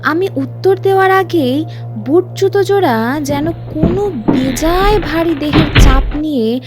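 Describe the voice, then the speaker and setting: A very high-pitched woman narrates a scary story over music, taking her time. The delivery is theatrical and scripted, built for suspense. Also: expressive, a little slow. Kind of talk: scripted dramatic audiobook narration. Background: music under the voice.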